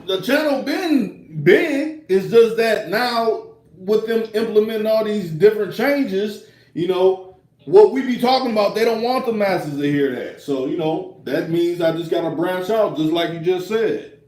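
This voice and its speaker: A man hosting a casual live call-in chat, halting with many fillers and restarts. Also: sits high, darkish tone. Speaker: host of a live stream. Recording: roomy, distant mic.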